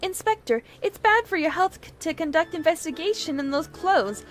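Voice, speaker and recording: A woman's voice: very high voice, animated, normal speed, slight background noise, pitched above the performer's own voice. A woman voicing an earnest, caring young female assistant in a video game, eager and dutiful. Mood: earnest, concerned. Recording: music behind the voice.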